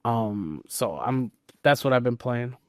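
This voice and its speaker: A man's voice: mid-range voice, lively intonation, slow, dry recording, clean studio sound. A man co-hosting a podcast, talking informally and teasingly about video games. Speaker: podcast co-host.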